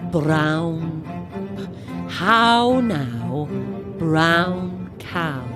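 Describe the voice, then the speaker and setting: A woman playing a flustered, self-dramatizing struggling actress who rambles theatrically to herself in an audio drama. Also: mid-range voice. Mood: wry, musing. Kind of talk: modern audio drama acting.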